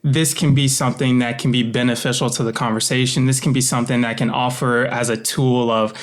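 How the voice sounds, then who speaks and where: American male interview guest, mid-pitched, thoughtful and halting, casual reflective talk. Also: level tone. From United States. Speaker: interview guest, author and voice actor.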